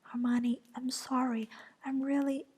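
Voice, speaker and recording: A woman voicing a defensive young male wizard in a soft whisper. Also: high-pitched, little pitch movement, measured pace, breathy edge, voice pushed up. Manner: whispered, pleading then shouting back.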